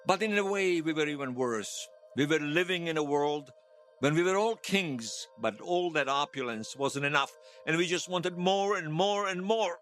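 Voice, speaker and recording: An actor playing an earnest, fervent veteran loyalist giving testimony in a fiction podcast, conversational and emphatic. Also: male voice, a little high, lively intonation, moderate pace, a bit bright, soft background music. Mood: impassioned, bitter. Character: earnest male veteran loyalist recounting an uprising, fervent believer. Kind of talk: fiction podcast monologue told as interview testimony.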